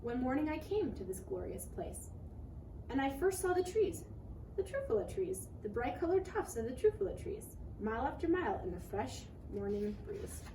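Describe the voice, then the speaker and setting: A woman reading a children's rhyming picture book aloud in an expressive, dramatic way. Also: high voice, moderate pace. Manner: animated, dramatic rhyming delivery. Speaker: read-aloud storyteller. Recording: roomy, noisy recording, distant mic.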